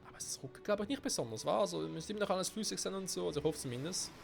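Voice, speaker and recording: A man's voice: high voice, expressive, very fast, vocal fry. A man with a cold giving casual gameplay commentary, swearing and thinking aloud to himself. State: stuffy-nosed with a cold. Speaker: let's play gamer. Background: faint game music.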